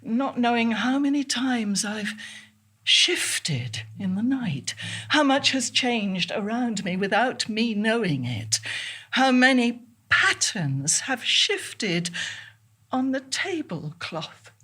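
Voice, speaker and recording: An ailing, preoccupied woman, as an actress plays her, husky and breathy, speaking slowly with big swings in pitch. The phrases halt, repeat and trail away in a theatrical monologue. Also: animated, fairly bright tone, exaggerated voice. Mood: pained, weary. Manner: halting, repetitive, trailing off mid-sentence. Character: ailing, distracted woman musing obsessively on small sensations. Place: theatre stage.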